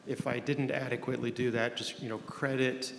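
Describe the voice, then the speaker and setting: A man speaking on a conference panel, talking in halting phrases with many restarts. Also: medium pitch, little pitch movement, creaky, a bit bright. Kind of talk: panel discussion. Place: conference room. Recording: echoey hall, faint noise.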